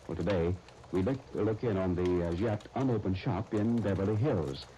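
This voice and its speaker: An American man announcing and narrating from a script in polished, deliberate 1930s radio style. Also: deep voice, dark tone. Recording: noisy 1930s radio broadcast recording.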